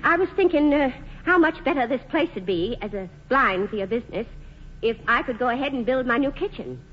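A muffled, faintly noisy 1940s radio drama carries a plucky small-town New woman played by an actress. Her voice is fairly high. She is folksy, plain-spoken and firm, a shrewd mother who stands her ground. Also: animated, brisk, lightly acted. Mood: careful, persuasive. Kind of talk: 1940s radio play acting.

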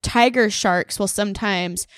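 A woman co-hosts a podcast in easygoing chat, laughing often and drawing out her words. Her voice is smooth and clear at a middle pitch. It is recorded close and dry on a studio mic. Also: no room sound. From North Carolina. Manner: chatty, laughs easily, drawn-out phrasing. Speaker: podcast co-host.

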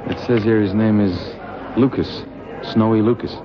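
An actor playing an excitable, chatty sidekick in a radio drama, giving slangy running commentary. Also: male voice, on the low side, moderate pace, natural voice. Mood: casual. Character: excitable, chatty male sidekick watching a boxing match. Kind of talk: 1960s-70s radio drama acting. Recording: dull, noisy vintage radio, loud crowd.

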